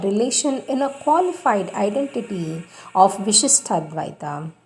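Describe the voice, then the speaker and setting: A woman giving a devotional reading of a spiritual text in a solemn, measured way, with emphatic phrasing. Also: medium pitch, a little mellow. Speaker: reader of spiritual texts. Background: music.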